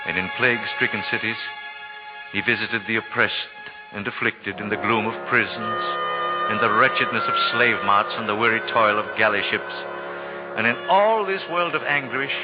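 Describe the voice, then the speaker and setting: A man giving solemn, theatrical scripted narration in the style of 1940s radio drama. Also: lowish voice. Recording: muffled old radio, loud music behind. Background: loud music.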